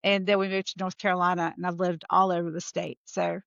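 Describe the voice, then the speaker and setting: A woman's voice: lowish voice, level tone, fast talker, creaky, a bit bright, no echo, lo-fi sound. A woman with a Southern US accent, a podcast guest chatting casually over a remote call, with lots of fillers. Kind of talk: casual interview talk.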